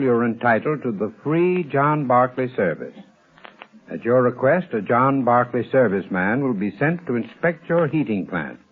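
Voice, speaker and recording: A man playing an earnest, courteous heating expert, delivering a formal, deliberate scripted 1930s radio ad. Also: medium pitch, husky. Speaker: advertising spokesman, heating expert. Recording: muffled 1930s radio broadcast.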